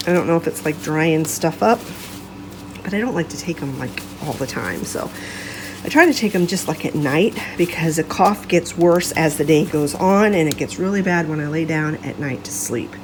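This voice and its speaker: A middle-aged woman hosting a casual home vlog, chatting in a rambling, self-correcting way, her voice congested and gravelly from a cold. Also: fairly low pitch, animated, normal speed, noisy background. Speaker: vlog host.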